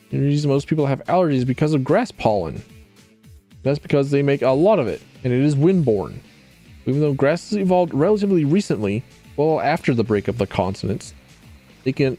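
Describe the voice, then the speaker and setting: A man's voice: mid-range voice, mellow. A man streaming a video game, chatting casually in a rambling, wry way and often correcting himself. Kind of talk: casual gameplay commentary.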